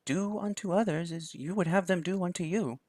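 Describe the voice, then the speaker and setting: A man's voice: sits high, brisk, a little mellow, no room sound, studio quality, voice pushed up. A man voicing a stern, self-righteous woman priestess who scolds coldly. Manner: cold, reproachful.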